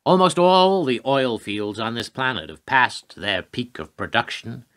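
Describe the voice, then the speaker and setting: Radio drama: male actor as a grim, insistent government adviser, lively pitch movement, hard-edged voice, lecturing urgently. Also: a little slow. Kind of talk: radio play acting.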